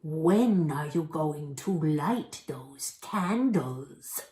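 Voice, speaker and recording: Storybook goblin played by a woman: greedy, grumbling, simple-minded, slow delivery in a roomy echo. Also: deep voice, animated, dark tone, pitched below the performer's own voice, exaggerated voice. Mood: impatient. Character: gullible, grumbling money-loving winged goblin.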